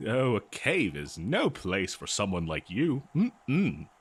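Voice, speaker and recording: A man playing a courteous, eager and nervous scholar in a fantasy audio roleplay, speaking politely and haltingly to the listener. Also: on the low side, wildly swooping pitch, breathy edge, full character voice. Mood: excited, fascinated. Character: eager, nervous, courteous male human professor of ancient civilizations.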